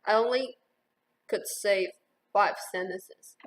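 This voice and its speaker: Slow, hesitant young Taiwanese-raised woman. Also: medium pitch, rough recording. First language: Mandarin Chinese. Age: 20s. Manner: hesitant, many fillers like "um" and "like". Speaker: interviewee, university student. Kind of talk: casual interview answers.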